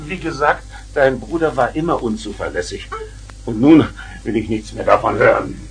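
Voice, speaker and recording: A man's voice: medium pitch, moderate pace, natural voice. An actor playing a strict, irritable father in a 1970s children's radio play, blustering and demanding. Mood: grumbling. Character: irritable, strict family father, stickler for respect. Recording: noisy 1970s vinyl record.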